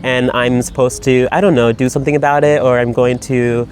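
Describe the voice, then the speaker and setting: Man, mid-pitched, rambling, reflective, lots of fillers, faint background music. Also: level tone, fairly quick, a little mellow. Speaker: writer. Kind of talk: personal video monologue. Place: Berlin.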